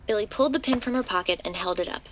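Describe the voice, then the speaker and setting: A woman's voice: mid-range voice, racing, slight background noise, natural voice. A woman playing the composed narrator of a horror audio drama, reading scripted prose as a storyteller. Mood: composed, ominous.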